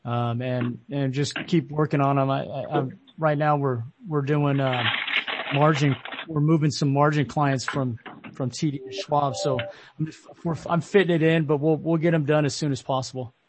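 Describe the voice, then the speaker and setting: A man giving a quick update in a business meeting, halting and repeating words. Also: on the high side, steady tone, background noise. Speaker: team member.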